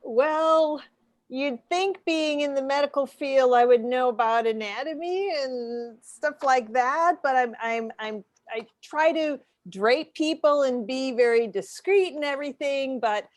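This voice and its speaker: A woman's voice: high voice, measured pace. A woman playing along as a panelist in an improvised comedy game show.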